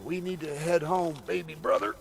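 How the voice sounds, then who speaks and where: A man's voice: a little high, level tone, normal speed, gravelly, noisy background. A man playing a pleading brother calling out dramatically in a video game. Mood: urgent.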